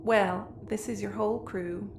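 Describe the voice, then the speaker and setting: A woman's voice: mid-range voice, fairly even intonation, a little slow, gravelly. A woman playing a gushing, sugary hostess in a sci-fi audio comedy. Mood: sugary, nosy. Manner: gushing, sweet-talking, folksy. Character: gushing, sugary Southern American hostess wife. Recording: echoey room, faint background noise.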